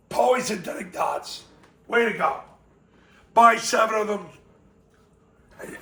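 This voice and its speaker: Raspy guy with a cold, coaching football, high voice, kinda echoey. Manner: blunt, emphatic coaching talk. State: hoarse from a cold. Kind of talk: coaching tips to camera.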